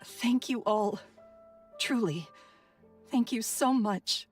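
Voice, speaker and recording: A gentle, grieving game character. Her high voice is soft and tender, coming slowly and haltingly, a little distant over music. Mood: sorrowful. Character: gentle sorrowful guilt-ridden woman in mourning, fantasy game. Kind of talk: video game voice acting.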